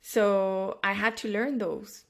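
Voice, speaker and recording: A woman's voice: medium pitch, slow. A woman from France speaking English with a French accent, hosting a casual podcast chat from home in the evening, often restarting as she searches for words. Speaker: podcast host. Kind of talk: casual conversation. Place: home, at night.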